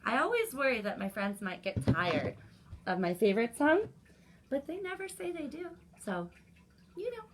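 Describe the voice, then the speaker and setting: A woman hosting a children's story time, reading aloud and chatting to young listeners directly, in an animated manner. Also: high voice, moderate pace, very noisy.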